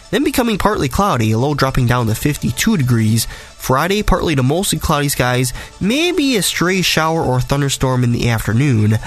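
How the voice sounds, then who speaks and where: Raspy-voiced man giving a weather podcast. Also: medium pitch. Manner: conversational, detailed forecasting. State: raspy voice from a cold or allergies. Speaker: weather podcast host, meteorologist. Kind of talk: solo weather forecast podcast.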